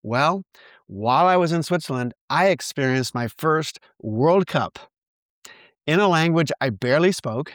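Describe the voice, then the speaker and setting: A man in his sixties from the United States hosting his own podcast, reading reflective narration in an earnest, measured way. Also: mid-range voice, no room sound, clean studio sound. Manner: earnest, measured, reads from a script. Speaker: podcast host.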